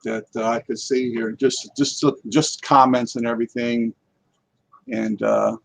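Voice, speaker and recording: A man's voice: mid-range voice, flat delivery, measured pace, gravelly, a bit bright. A man in casual conversation as a guest paranormal investigator on a live talk show, rambling and repeating words as he goes.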